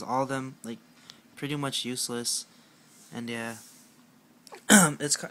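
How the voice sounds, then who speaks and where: A young man with a raspy voice, chatting casually at home about a card game deck, rambling with lots of fillers and self-mocking jokes. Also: mid-range voice, fairly bright tone, a little hiss or hum. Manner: rambling, filler-heavy, self-mocking with frequent clearing of the throat. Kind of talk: casual talk to camera.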